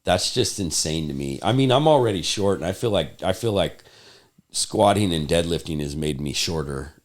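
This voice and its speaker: Deep-voiced man, lively intonation. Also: moderate pace. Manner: agreeable, reacting often with short interjections. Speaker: podcast host, interviewer. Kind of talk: casual chat.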